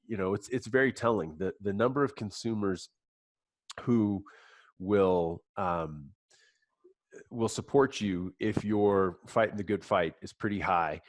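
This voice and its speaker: A low-pitched man with a cold, his voice stuffed up. He talks haltingly, full of fillers and restarts. The sound is dry and close. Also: dry recording. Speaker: app company guest. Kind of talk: webinar presentation.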